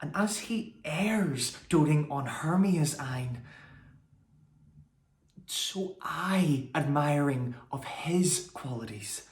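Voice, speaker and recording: Man as rejected young lover, Shakespearean verse, aching and bitter, drawn-out phrasing, raised pitch. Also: animated, reverberant. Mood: wistful, hurt. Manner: deliberate, drawn-out delivery with weighted pauses.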